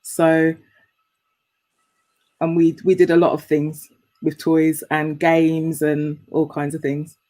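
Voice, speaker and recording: A woman's voice: sits low, little pitch movement, slow pace, pauses often, mellow. A woman, a guest on a video call, speaking frankly and laughing at herself in casual chat.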